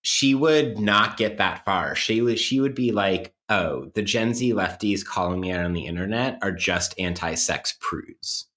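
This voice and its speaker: A man with a low-pitched voice speaks as a podcast co-host. His delivery is conversational but analytical, with academic phrasing and frequent qualifications as he works through an argument. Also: a little slow, few pauses, a bit bright.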